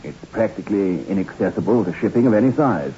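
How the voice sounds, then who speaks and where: A man acting an earnest country doctor in an old radio drama. His voice is low and swings expressively as he presses his case in formal, insistent speech. The recording is muffled and heavy with noise. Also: expressive, fast, mellow, lightly acted. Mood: urgent, persuasive. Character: earnest, persuasive male country doctor with a secret.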